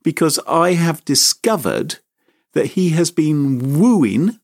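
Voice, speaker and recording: A man's voice: very animated, slow, a little mellow. Mock-outraged man telling a story. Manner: mock-indignant, theatrical, sweeping pitch. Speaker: podcast host. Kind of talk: comic storytelling chat.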